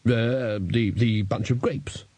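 A man's voice: medium pitch, expressive, moderate pace, mellow, slightly rough, no echo. A man voicing a hesitant, befuddled wizard lecturer who stammers evasively, in an audiobook. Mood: sheepish, evasive. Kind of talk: audiobook character voice.

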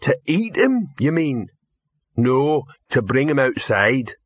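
A man voicing big, slow-witted male trolls who talk in broken grammar. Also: medium pitch, sing-song, breathy edge, dry recording, lo-fi sound, exaggerated voice. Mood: scheming.

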